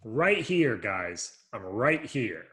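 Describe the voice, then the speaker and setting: A man reads a glum teenage boy who has given up on his own writing. He speaks slowly and sulkily, though his pitch swings up and down in a lively way. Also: slight background noise, heavily acted. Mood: sulky. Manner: sulky, flat, self-deprecating. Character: glum teenage boy, a discouraged young writer. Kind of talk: screenplay table reading.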